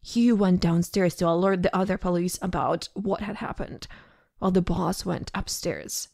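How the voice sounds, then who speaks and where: A woman's voice: lowish voice, moderate pace, gravelly, darkish tone, no room sound, studio quality. A woman reading scripted narration for an audio drama.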